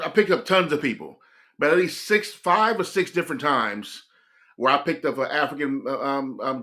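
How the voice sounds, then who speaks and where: A man's voice: mid-range voice, bright, forceful tone. An American man hosting a reaction video, talking in a reflective, rambling way and addressing his viewers directly. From United States. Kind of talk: casual reaction commentary.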